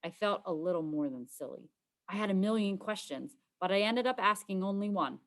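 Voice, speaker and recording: A woman's voice: medium pitch, lively intonation, a little fast. A woman reading a children's storybook aloud as scripted narration, in the role of a teacher.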